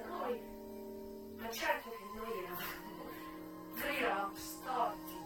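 Actress doing a weary but defiant old brothel madam, stage monologue, dramatic pauses, super echoey and a bit far off, female voice. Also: a little high, lightly acted. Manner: reflective, emphatic, with deliberate pauses and swings. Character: world-weary, defiant retired American brothel madam reminiscing. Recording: very echoey, distant stage sound with music.

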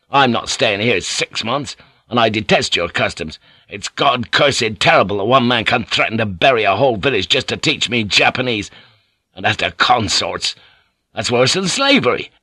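A man's voice: mid-range voice, sharp tone, breathy edge, no room sound. A man reading an audiobook, voicing a stubborn, angry English ship's pilot who talks bluntly and icily. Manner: blunt, clipped, icy. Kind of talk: audiobook character voice.

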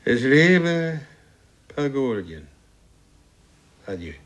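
Imperious future warrior, male, commanding, grandiose, menacing, medium-pitched voice, radio drama. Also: very animated, very slow, fairly bright tone, exaggerated voice. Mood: moved, solemn. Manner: commanding, grandiose, solemnly threatening. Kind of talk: German radio play acting.

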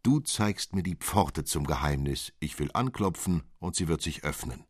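A man's voice: extremely low pitch, expressive, moderate pace, a little mellow, husky, no echo, clean studio sound, exaggerated voice. A man voicing a sentimental fairy tale clockmaker who speaks in pompously poetic exclamations. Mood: awed.

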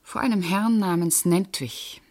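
An actress playing a pointed, suspicious witness under questioning in a radio crime drama. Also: female voice, fairly low pitch, measured pace, darkish tone, natural voice. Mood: insistent. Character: pointed, suspicious female witness, friend of the victim. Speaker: actor.

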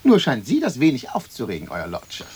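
An actor playing a nosy, needling onlooker in a radio play, clipped and mocking, on an old recording. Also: male voice, mid-range voice, huge pitch swings, fairly quick, darkish tone, slight background noise. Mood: prying, mocking. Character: nosy, needling gossip watching from a tree. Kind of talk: German radio play acting.

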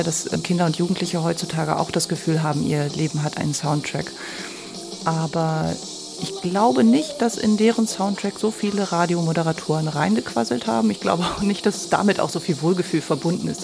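Creaky-voiced woman in a reflective interview. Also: sits low, fairly even intonation, fairly quick, over music.

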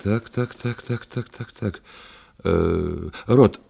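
Courteous, creaky-voiced male investigator. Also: fairly low pitch, slow pace, mellow, heavily acted. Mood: probing. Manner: polite, musing, repeats words while thinking. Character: courteous, probing gentleman forensic psychiatrist, calm and attentive. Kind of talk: Russian radio play acting.

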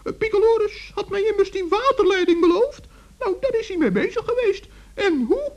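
A man playing a small, excitable old forest gnome in a children's radio play, speaking eagerly and earnestly with little stammers. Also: extremely high pitch, moderate pace, lo-fi sound. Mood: proud, eager. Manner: eager, stammering, gently scolding.

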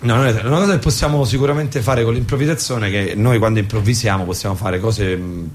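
A man's voice: lowish voice, steady tone, quick pace, a little hiss or hum. A man hosting a live improvised radio comedy show, giving rambling, mock-didactic explanations in casual banter.

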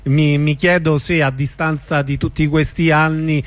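A man's voice: on the high side, little pitch movement, normal speed, no echo. A man hosting an informal radio interview, feeling his way through questions and often murmuring agreement. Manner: tentative questions with frequent short affirming interjections.

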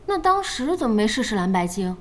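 Casting: young female gamer, audio drama, high and animated, earnest, supportive, music behind. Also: fairly quick, a little hiss or hum. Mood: worried, curious. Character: young woman, earnest supportive gaming guild partner. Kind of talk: Chinese audio drama acting.

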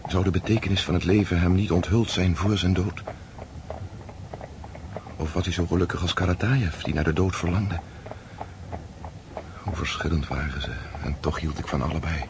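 An actor playing an earnest, gentle young nobleman who stammers when moved, in a radio drama. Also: low-pitched, moderate pace, long pauses, background noise. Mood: pensive.

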